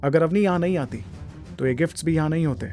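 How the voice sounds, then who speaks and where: A man's voice: on the high side, fast talker, warm tone, faint music behind, natural voice. A man acting an earnest, wronged young husband in an audio drama. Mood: earnest, insistent.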